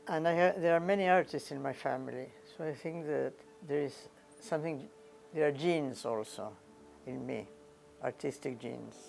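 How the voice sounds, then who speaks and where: Older woman with a gravelly voice. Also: low-pitched, a little mellow, faint music behind. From Argentina. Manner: unhurried, searching for words, repeating phrases. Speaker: painter being interviewed. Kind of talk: reflective interview answers.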